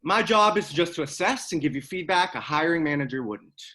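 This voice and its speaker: Casting: actor for blunt, dry male career counselor with animated pitch movement, table-read drama. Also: a little fast. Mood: dry, condescending. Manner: deadpan, blunt. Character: blunt, dryly amused job counselor, a man.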